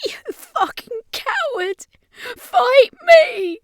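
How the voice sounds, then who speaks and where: A woman's voice: very animated, extremely slow, no echo, studio quality, full character voice. A woman voicing a desperate, defiant young woman in a horror audiobook, crying out with raw emotion. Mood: panicked rage. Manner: high, shrieking, emotional delivery.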